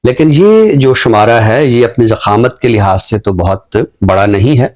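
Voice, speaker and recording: A man with a Pakistani accent speaking in a formal, scholarly, measured way on a literary talk show, pausing mid-sentence. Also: mid-range voice, lively intonation, a little mellow. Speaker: literary talk show guest and book reviewer. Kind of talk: unscripted book commentary and poetry recitation.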